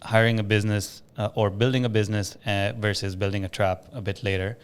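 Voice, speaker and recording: Guy hosting a business podcast, voice a bit low, casual chatty interviewing. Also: little pitch movement, fairly quick, smooth. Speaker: podcast host, business owner.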